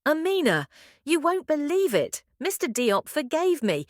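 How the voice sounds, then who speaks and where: A woman's voice: high voice, big pitch swings, a little slow, dry recording, clean studio sound, voice pushed up. Woman voicing a humble, earnest young husband. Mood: relieved. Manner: deferential, earnest. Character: humble, anxious male employee, a grateful husband.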